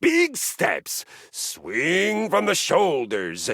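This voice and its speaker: An actor playing a grandiose, boastful, violence-loving exercise machine in a video game, talking in a theatrical, pompous way that swings from low murmurs to booming shouts. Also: male voice, wildly swooping pitch, very slow, dry recording, clean studio sound, full character voice. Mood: earnest. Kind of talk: video game character acting.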